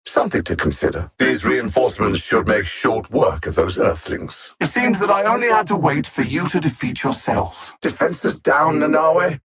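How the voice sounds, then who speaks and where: Casting: a hyper-intelligent alien supervillain in a game; husky, animated intonation, articulate contempt and theatrical menace. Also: male voice, a little high, normal speed, hardly any pauses, exaggerated voice. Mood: condescending taunting. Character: cold, arrogant male alien supervillain conqueror, hyper-intelligent and condescending. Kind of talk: video game villain voice lines.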